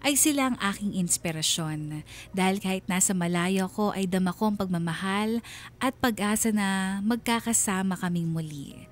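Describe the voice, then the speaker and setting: Radio drama narrator: actress as a homesick working mother, mid-pitched, earnest and sentimental, telling her story. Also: lightly acted. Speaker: narrator reading a listener's letter in the first person.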